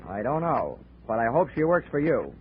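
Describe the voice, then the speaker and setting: A man's voice: mid-range voice, very animated, moderate pace, slight background noise, close to an everyday voice. An actor playing a wisecracking, hard-boiled male insurance investigator, sardonic and tough, in 1940s radio noir style. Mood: brisk, commanding. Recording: tinny 1940s radio recording.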